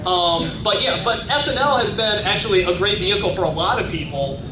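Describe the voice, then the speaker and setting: A man in his thirties with a high-pitched voice, chatting casually as he answers audience questions at a convention panel, full of fillers and restarts. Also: animated, a little fast, recorded from a distance. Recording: echoey convention hall from afar, noisy.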